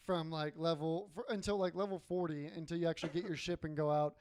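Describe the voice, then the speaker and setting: A man's voice: fairly high pitch, fairly even intonation, fast talker, a little mellow, slight background noise. A man co-hosting a casual gaming podcast, explaining things informally with some swearing. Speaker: podcast co-host.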